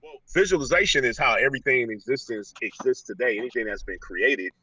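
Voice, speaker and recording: A man's voice: mid-range voice, fast, bright, forceful tone, slightly rough, dry recording. An American man talking casually and motivationally as an interview guest, slangy and often checking that the listener follows. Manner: slangy, repeats "you know what I'm saying". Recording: slightly distant, dull lo-fi sound.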